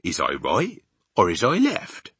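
A man's voice: sits low, wildly swooping pitch, a little slow, fairly bright tone, breathy edge, dry recording, heavily acted. A man voicing a big friendly giant from a children's storybook, earnest and proud, with folksy mangled grammar and invented words. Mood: reassuring. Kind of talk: children's storybook character acting.

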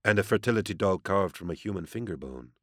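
A man's voice: low voice, lively intonation, fast, no room sound, studio quality. A man voicing a frightened, cringing farmer on trial in an audiobook, deferential, anxious and pleading as he answers. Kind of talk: audiobook character acting.

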